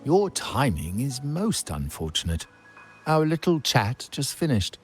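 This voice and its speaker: An actor playing a proud, scheming middle-aged uncle and family heir in a video game, speaking in a measured, imperious way with veiled menace. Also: male voice, mid-range voice, sing-song, faint music behind. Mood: curt, displeased.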